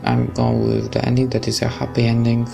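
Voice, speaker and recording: A man's voice: medium pitch, big pitch swings, fairly quick, creaky, over music. A young man with a Polish accent, reading a visual novel aloud and chatting, stumbling over words. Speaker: let's play host.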